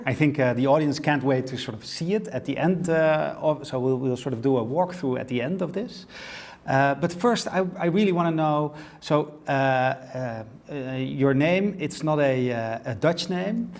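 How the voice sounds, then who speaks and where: A man's voice: on the high side, normal speed, a little mellow. A man hosting a podcast interview, eager and casual, often restarting and repeating words. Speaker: podcast host. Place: design exhibition venue.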